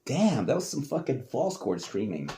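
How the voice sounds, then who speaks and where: A man, speaking in a lively, swooping voice. He talks casually and curses freely, with plenty of self-mockery. The tone stays loose and unscripted. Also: medium pitch, normal speed. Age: adult. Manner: animated, profane, self-mocking. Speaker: host. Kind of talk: casual commentary reacting to old tapes.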